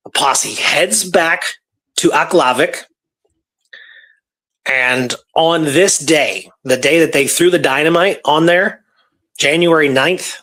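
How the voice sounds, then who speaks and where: A man's voice: a little high, a bit bright, poor audio. Man telling a story in casual talk. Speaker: podcast host telling a true historical story.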